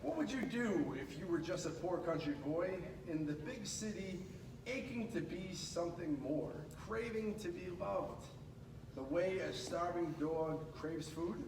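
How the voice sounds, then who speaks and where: A man's voice: sits high, unhurried. A man reading a children's picture book aloud expressively, with casual asides to his listeners. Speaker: storybook reader at a school. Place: indoors at a school. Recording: echoey room, distant mic, noisy background.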